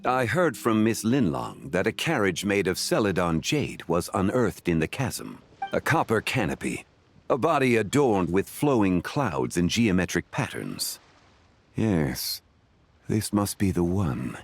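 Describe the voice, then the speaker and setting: A man voicing a composed, courteous, learned gentleman in a video game, with formal, measured phrasing. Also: low voice, sing-song, heavily acted. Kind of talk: scripted video game dialogue acting.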